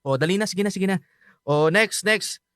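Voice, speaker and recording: A man's voice: sits high, moderate pace, a bit bright, clean tone, dry recording, studio quality. A man playing a cocky, easily annoyed young friend in a radio drama, whiny and teasing, with a Filipino accent.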